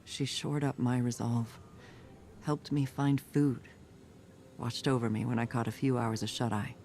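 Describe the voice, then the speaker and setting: Actor as a gravelly, battle-worn soldier. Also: male voice, medium pitch, flat delivery, unhurried, darkish tone, a little hiss or hum, close to an everyday voice. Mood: reflective. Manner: terse, reflective. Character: restless, battle-worn male veteran soldier. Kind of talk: game cutscene monologue.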